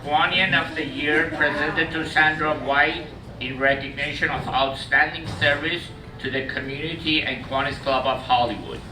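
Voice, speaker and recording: Guy reading award certificates at a banquet, formal and steady, far off mic, echoey w/ noise. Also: a little high, normal speed. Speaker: presenter of club awards. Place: large banquet hall.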